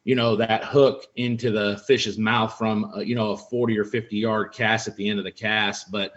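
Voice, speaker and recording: A man's voice: fairly low pitch, flat delivery, normal speed, a bit bright, muffled recording. Rambling forties man on a video call. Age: 40s. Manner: rambling, friendly, full of fillers. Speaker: pro angler guest. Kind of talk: casual chat on a video call. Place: room in a house. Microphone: video call webcam.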